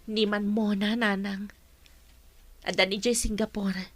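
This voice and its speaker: A woman playing a tender, worried middle-aged mother in a radio drama, with a gentle, plaintive delivery. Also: mid-range voice, little pitch movement, measured pace, lightly acted.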